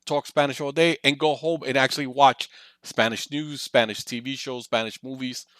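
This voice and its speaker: Bright-voiced man hosting a podcast. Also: mid-range voice, fairly even intonation, normal speed, no room sound. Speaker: podcast host. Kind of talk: casual interview chat.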